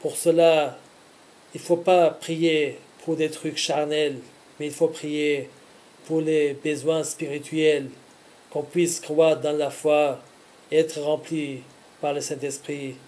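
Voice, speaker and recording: A man speaking earnestly in short, deliberate phrases, as a religious host giving scripted devotional teaching. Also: fairly high pitch, little pitch movement, a little mellow.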